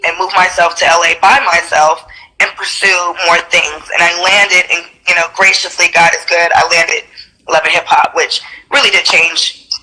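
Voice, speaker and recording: A woman in her thirties, a reality TV personality and singer, chatting in a casual interview with a stuffy nose, animated and slangy, laughing often. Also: fairly low pitch, moderate pace, rough recording.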